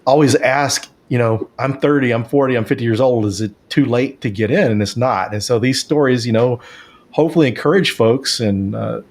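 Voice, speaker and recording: A man's voice: medium pitch, fairly quick. A man hosting a podcast in a conversational interview style. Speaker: podcast host.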